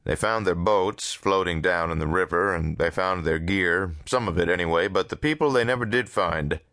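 A man's voice: deep voice, normal speed, a bit bright, dry recording. A man voicing a dogged, wry lawman in an audiobook, casual and persistent in his dry delivery. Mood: concerned. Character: dogged, wry lawman coaxing an old friend.

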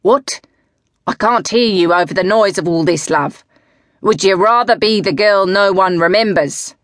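Brief: sardonic middle-aged coach, a man as voiced by a woman, drawling and needling, low-set voice, dry close sound. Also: lively intonation, measured pace, no echo.

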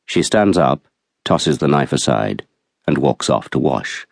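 A man reading a literary novel as an audiobook narrator, in a measured, articulate storytelling manner. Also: a bass voice, lively intonation, gravelly, no echo, studio quality.

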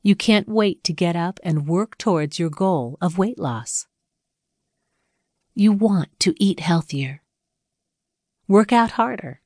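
A woman narrating a scripted guided meditation in a measured, soothing, instructional way with pauses between phrases. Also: mid-range voice, a little mellow, dry recording, studio quality.

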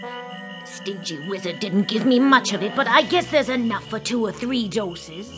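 A woman's voice: medium pitch, animated, moderate pace, close to an everyday voice. A woman playing a wicked, scheming old witch in a radio drama, cackling and theatrical. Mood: sly, eager. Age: older. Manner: theatrical, gleeful, scolding. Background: music.

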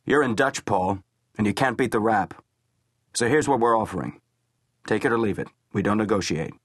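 Gruff commanding naval officer, read by a man, deep but raised voice, dry close sound. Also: moderate pace, no echo, lightly acted. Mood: stern. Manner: blunt, commanding. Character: gruff middle-aged male naval intelligence commander. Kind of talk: audiobook character voice.

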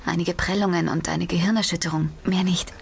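An actress playing a caring, soothing sister at her injured brother's bedside in an audio drama, gentle and reassuring in a breathy half-whisper. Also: on the low side, steady tone, a little fast, slight background noise. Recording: clear music behind the voice. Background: music.

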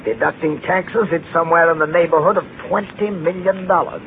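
An actor playing a smooth, scheming big-city lawyer in a 1940s radio drama. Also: male voice, fairly high pitch, steady tone, fairly quick, natural voice. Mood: formal, businesslike. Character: smooth, self-assured, scheming New York lawyer. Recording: tinny 1940 radio broadcast recording.

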